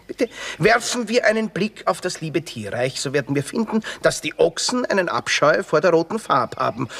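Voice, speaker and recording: Casting: witty, bitter young Austrian drifter, higher-pitched male voice, florid theatrical delivery with dry asides, radio comedy. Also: gravelly, a bit bright, exaggerated voice. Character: witty, bitter red-haired young drifter, grandiloquent and sardonic. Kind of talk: classic Viennese stage comedy radio acting.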